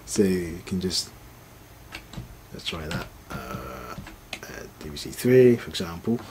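A man's voice: sits low, sing-song, slow pace, a little mellow. A man giving a casual, unscripted product demonstration in a hesitant, mumbling way. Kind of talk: casual unscripted tutorial narration. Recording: faint background noise.

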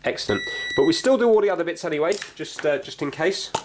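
A man's voice: a little high, animated, fast talker, over music. A middle-aged British man giving casual, explanatory commentary as he thinks aloud through a hands-on repair. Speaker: repair vlog presenter. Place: indoor room with wooden floor, working at floor level. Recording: clear background noise with clicks.

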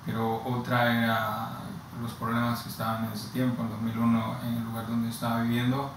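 A man in his thirties with a Honduran Spanish accent, talking haltingly and slowly, often repeating words before going on. He sits in a plain indoor room and is picked up from some distance, so his voice sounds strongly echoey. A steady hiss runs underneath. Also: medium pitch. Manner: slow, halting, with frequent restarts and repeated words. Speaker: migrant giving testimony. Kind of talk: personal testimony. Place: plain indoor room against a bare wall.

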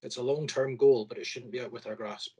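Husky man, earnest. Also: mid-range voice, steady tone, brisk, dark tone. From Scotland. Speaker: orthopaedic surgeon. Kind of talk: earnest meeting statement.

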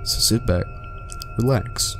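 A man reading a mock-dramatic scripted intro in a deadpan way. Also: slow pace, soft background music. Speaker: video narrator.

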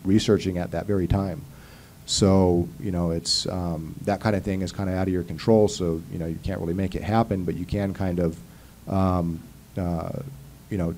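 A man's voice: deep voice, dark tone, a little hiss or hum. A man in his thirties speaking at a conference panel table into a handheld mic, earnest and rambling with many fillers and restarts. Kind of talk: casual panel talk. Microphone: handheld mic on stand.

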